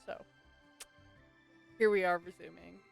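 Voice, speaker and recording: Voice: medium pitch, steady tone, a little slow, vocal fry, faint music behind. A game streamer giving casual live commentary, informal and exclamatory, reacting aloud to the game.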